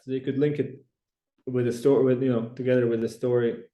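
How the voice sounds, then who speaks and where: A man with a Croatian accent talks in English as a podcast guest, earnest and full of restarts. His voice is mellow and dark. It rings in a roomy, echoey space. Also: mid-range voice, flat delivery. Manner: earnest, halting, many restarts. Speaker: church branch president, guest. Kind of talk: podcast interview.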